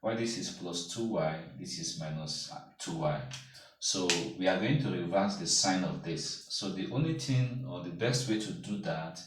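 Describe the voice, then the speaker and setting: A man's voice: sits low, normal speed, slight background noise. A man tutoring a math lesson step by step, patiently checking whether the viewer follows. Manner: patient, checks in with "okay?" and "can you see that?". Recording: echoey room, mic far off.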